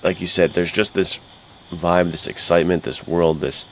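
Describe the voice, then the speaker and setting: Deep male voice, excited slangy podcast chat, casual co-host, noisy background. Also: moderate pace. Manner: excited, bro-ish, slangy.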